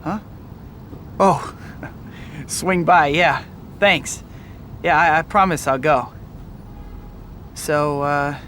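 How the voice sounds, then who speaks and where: A man's voice: on the high side, very slow, pauses often, fairly bright tone, slight background noise. A man playing an earnest, awkward young student hero in a video game, boyish and wry. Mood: sheepish, friendly. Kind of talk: video game cutscene acting.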